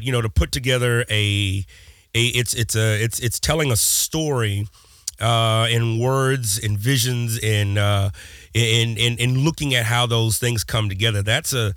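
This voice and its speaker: A man hosting a podcast, hoarse as he recovers from a lost voice, speaking casually in a rambling, hesitant way with lots of fillers. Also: mid-range voice, a bit bright, no room sound, clean studio sound. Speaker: podcast host.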